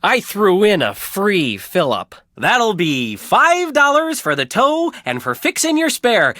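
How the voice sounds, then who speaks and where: A woman voicing a gruff, folksy male gas station owner in a video game, drawling and repeating a goofy slogan. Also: medium pitch, big pitch swings, takes it slowly, fairly bright tone, dry recording, studio quality. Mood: folksy, bossy.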